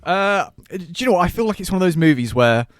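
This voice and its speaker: Higher-pitched young man, casual podcast chat. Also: sing-song, fast, smooth. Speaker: film podcast co-host.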